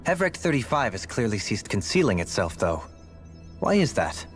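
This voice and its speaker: A man's voice: on the low side, sing-song, normal speed, soft background music. An actor playing a formal, analytical young man in a video game, speaking precisely and inquisitively. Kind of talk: video game cutscene voice acting.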